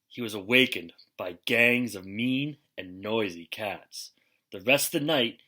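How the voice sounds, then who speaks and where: Male storybook reader for young children, unhurried and forceful, with expressive, dramatic delivery. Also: mid-range voice. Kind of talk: expressive children's picture book read-aloud.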